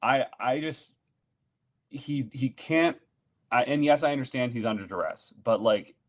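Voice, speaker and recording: A man's voice: mid-range voice, measured pace. A man in his thirties co-hosting a sports podcast from home over a desk mic, giving his blunt opinions in a loose, rambling way. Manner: opinionated, lots of fillers and restarts. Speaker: podcast co-host. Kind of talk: casual sports chat. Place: home room, indoors.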